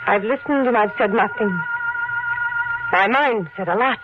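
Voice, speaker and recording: An actress as an anxious wife in a 1940s radio thriller. She is earnest and dramatic, taking her words very slowly. The recording is old and dull, with loud music playing under her. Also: medium pitch, natural voice. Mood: grave, accusing. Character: anxious, pleading wife who grows grave and accusing. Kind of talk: 1940s radio mystery acting.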